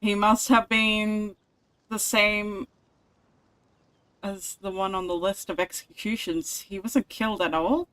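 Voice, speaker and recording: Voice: medium pitch, steady tone, takes it slowly, a bit bright. A livestreamer giving casual game commentary, thinking aloud about the story.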